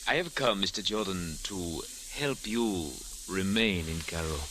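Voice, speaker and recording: An actor playing a suave, smug foreign spy with deliberate, menacing politeness in an old-time radio drama. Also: male voice, low-pitched, expressive, sharp tone, exaggerated voice. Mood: smooth, smug. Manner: suave, deliberate, with amused chuckles. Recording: noisy, hissy old radio recording.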